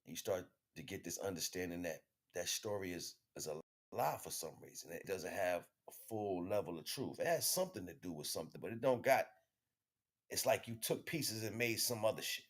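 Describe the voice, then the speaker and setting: A man with an American accent and a notably low-pitched voice hosts a casual livestream monologue on spiritual matters. His delivery is conversational and slangy, with swearing mixed in freely. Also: lively intonation, brisk, slightly rough. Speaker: livestream host.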